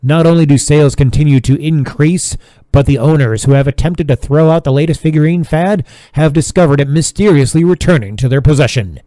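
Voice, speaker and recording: A man's voice: on the high side, fairly quick, dark tone, no echo, natural voice. A man playing a sensational radio news reporter, giving an emphatic, formal mock bulletin in an audio drama. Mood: urgent, emphatic.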